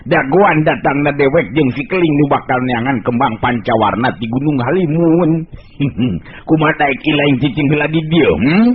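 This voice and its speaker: A man's voice: fairly high pitch, pitched above the performer's own voice, lightly acted. Old sorcerer villain, performed by a man: sly, leering, gloating, coarse, on a narrow hissy radio recording. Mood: sly, commanding. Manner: drawn-out gloating words, chuckles, coarse address. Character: Ki Buyut Ireng, sly, lecherous old male sorcerer. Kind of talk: Sundanese radio storytelling drama.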